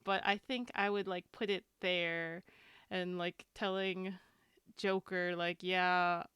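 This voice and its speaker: Very clear, smooth-voiced woman. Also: fairly low pitch, steady tone, takes it slowly, fairly bright tone, dry recording, clean studio sound. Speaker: podcast panelist. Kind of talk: casual chat.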